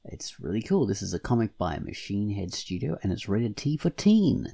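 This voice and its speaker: A man co-hosting a podcast, chatting and reviewing in an animated, rambling, jokey manner. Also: lowish voice, normal speed, vocal fry, husky. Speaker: podcast co-host. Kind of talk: casual podcast chat and featured comic review.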